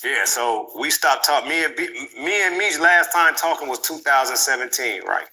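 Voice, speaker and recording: Bright, forceful man retelling a conversation. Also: mid-range voice, expressive, moderate pace, poor audio. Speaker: interview guest. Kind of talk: casual storytelling with slang and quoted dialogue.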